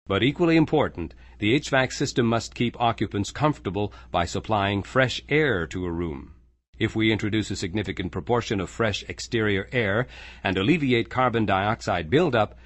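Low-voiced male training narrator. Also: dry recording. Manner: measured, clear explanatory delivery. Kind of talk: scripted corporate training narration.

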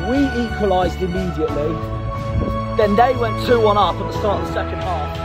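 Brief: young fan, higher-pitched voice, near-hoarse and elated, music behind, camera held at arm's length. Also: male voice. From Plymouth, England. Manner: breathless and elated, repeating himself. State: nearly lost his voice. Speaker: football fan vlogger. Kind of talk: excited post-match vlog. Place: outdoors at night, then indoors. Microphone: handheld camera at arm's length.

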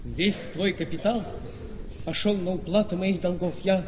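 In a reverberant hall with clear background noise, a vain gentleman husband played by a male actor speaks in a high voice. He is scheming and smoothly persuasive, stressing his words and pausing dramatically, as in a stage-like radio play. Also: steady tone, lightly acted. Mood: grave, pleading. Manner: emphatic, dramatic, with weighty pauses. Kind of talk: theatrical radio play acting. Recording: noisy, long echoing hall reverb.

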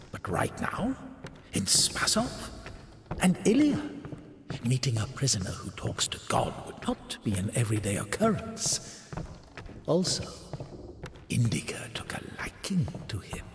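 Mocking game devil, theatrical, drawn-out, reverberant hall sound, noisy backdrop. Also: male voice, medium pitch, wildly swooping pitch. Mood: wry narration. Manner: sardonic, theatrical, drawn-out, probing. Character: mocking devil, a male inner voice and narrator. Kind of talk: video game narration and dialogue. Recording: echoey long reverb, noisy game audio.

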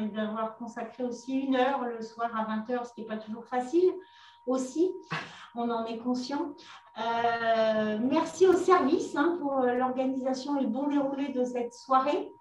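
Distant host woman in an echoey room. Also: sits high. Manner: halting, many fillers. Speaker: event host. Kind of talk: formal welcome speech. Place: meeting room. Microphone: far room camera. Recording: echoey room, distant mic, faint hum.

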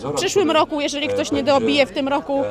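A woman's voice: sits high, steady tone, a little fast. A young woman leading a restaurant team, interviewed on a handheld mic on a crowded town square, chatty with fillers. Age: young adult. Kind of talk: street interview. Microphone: handheld reporter mic. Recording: outdoor street recording, loud music and crowd.

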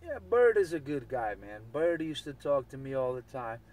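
A man's voice: mid-range voice, sing-song, normal speed, a little mellow. A man chatting casually over CB radio, often repeating himself. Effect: CB radio transmission. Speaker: CB radio operator. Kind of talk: casual chat with repetitions. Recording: distant CB radio with light noise.

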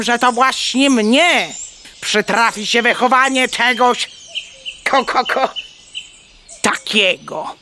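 A woman's voice: high voice, bright, forceful tone, slight background noise, heavily acted. An actress playing a bossy old mother hen in a children's radio play, scolding sternly with stuttering clucks inside her words.

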